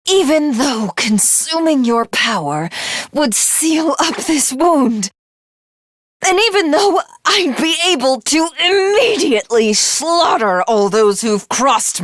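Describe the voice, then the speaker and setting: A woman playing a grandiose, battle-crazed villainess who thinks herself a god, in theatrical, imperious anime game voice acting with a breathy voice that slips toward whispers. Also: medium pitch, dry recording, studio quality, exaggerated voice. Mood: shaken, pleading. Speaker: video game voice actress. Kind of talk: dramatic anime game character voice lines.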